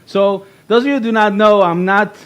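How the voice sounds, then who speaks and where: High-voiced man giving an emphatic workshop talk. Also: moderate pace. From Israel. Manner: animated, emphatic, repeats key phrases. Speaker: workshop speaker.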